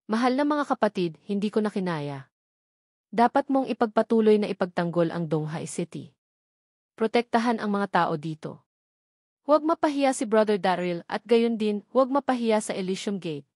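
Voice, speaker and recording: Woman narrator as defiant male warlord, wounded, formal declaiming, action audiobook, mid pitch, dry recording. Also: animated, moderate pace, a little mellow, no room sound, lightly acted. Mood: solemn, resolute. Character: defiant wounded male warlord rallying his brothers in battle.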